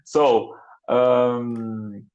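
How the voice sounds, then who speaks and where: A Brazilian man, whose first language is Portuguese, works through his English in slow, halting phrases, restarting as he hunts for the right word. His voice sits at an ordinary pitch for a man. The call audio is dull and lo-fi. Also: level tone, a little mellow. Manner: halting, searching for words, many restarts. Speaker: English learner. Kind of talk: casual online lesson chat. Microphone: computer mic on a video call.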